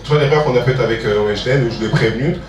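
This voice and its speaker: A man's voice: mid-range voice, very fast, crowd noise. Slangy man in a roomy hall. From Ivory Coast. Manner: slangy, emphatic, repeats phrases for stress. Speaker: music producer and entrepreneur, guest speaker. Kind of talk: informal public question-and-answer talk. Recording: roomy hall, distant mic, audience murmur.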